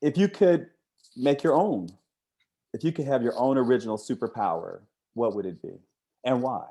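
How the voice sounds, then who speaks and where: A man's voice: medium pitch, moderate pace, dark tone. A man hosting a casual interview, talking in a conversational, discursive way. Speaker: interviewer, comic creator.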